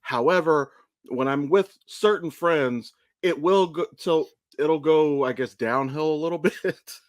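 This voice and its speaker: A man's voice: a little high, measured pace, no room sound. An American man in his thirties from Ohio hosting a casual podcast, talking candidly with rambling stories and plenty of profanity. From Ohio, United States. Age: 30s. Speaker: podcast host. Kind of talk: casual conversational podcast chat.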